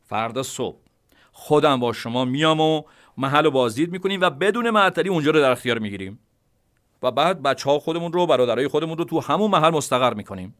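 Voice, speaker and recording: A man reading an audiobook memoir, voicing a firm, decisive male military commander who speaks in a measured, insistent way. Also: a little high, lively intonation, fairly bright tone, voice pushed up.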